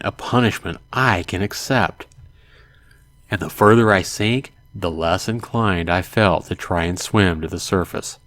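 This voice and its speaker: A man hosting a let's play, reading a visual novel aloud in a dramatic way and adding hushed asides. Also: deep voice. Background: game music.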